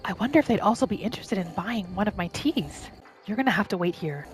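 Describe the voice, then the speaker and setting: A woman narrating her game roleplay in character, with a gentle, musing manner as if talking to herself and her pets. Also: medium pitch, animated, fast talker. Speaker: roleplay gaming host. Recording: music behind the voice. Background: background music.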